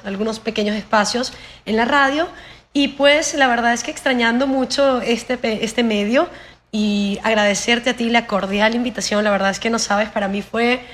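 A woman's voice: fairly high pitch, a little hiss or hum. A woman from Venezuela with a Venezuelan accent tinged with Mexican, chatting as a podcast guest in an upbeat, colloquial way. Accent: Venezuelan Spanish with a Mexican touch. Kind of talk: casual interview chat.

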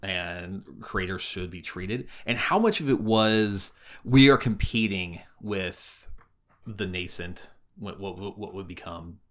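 A man's voice: low-pitched, takes it slowly, fairly bright tone. A man co-hosting a podcast in casual conversation, often repeating words as he works out a thought. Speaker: podcast co-host.

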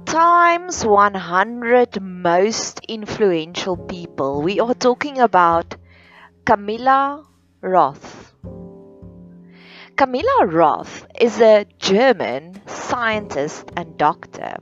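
A woman from South Africa with an Afrikaans accent, hosting a solo podcast in a slow, earnest, deliberate way with many pauses. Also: medium pitch, very animated, fairly bright tone. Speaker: podcast host. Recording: dull lo-fi sound with faint music.

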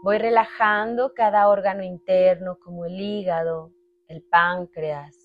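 A woman leading a guided meditation in a calm, unhurried way, speaking in short phrases with long pauses. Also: fairly low pitch, muffled recording. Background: meditation music with sustained tones.

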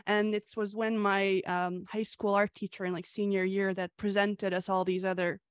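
Guest: young woman from Hungary, chatty with fillers, mid pitch, dry close mic. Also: level tone, normal speed, clean tone, no echo. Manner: chatty, laughs often, frequent fillers. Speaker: comic creator, guest. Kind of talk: casual interview answers.

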